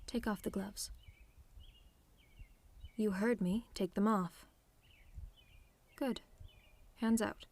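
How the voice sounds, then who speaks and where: Woman doing a telepath villainess for asmr roleplay, snarky and menacing w a soft side, draws words out, theatrical, mid pitch. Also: natural voice. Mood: intent, reassuring. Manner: sardonic, theatrical, drawn-out deliberate phrasing. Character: mocking telepathic villainess, menacing but softening to tender. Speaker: audio roleplay performer.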